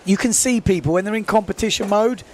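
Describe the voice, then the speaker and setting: Man, high voice, live sports commentator, excitable, faint background noise. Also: fast. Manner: excitable, calls out scores and clock times.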